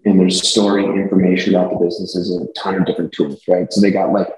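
A man who is a company executive, explaining his business in a relaxed, businesslike way as an interview guest. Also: low-pitched, fast talker, roomy sound, rough recording. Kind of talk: casual interview answers.

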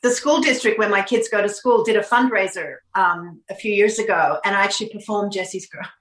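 A middle-aged woman from Australia with a mild Australian accent, softened toward American, chatting casually as a guest in an interview. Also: medium pitch, brisk, reverberant, low-quality recording. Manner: chatty, animated, many fillers and restarts. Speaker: actor and podcast guest.

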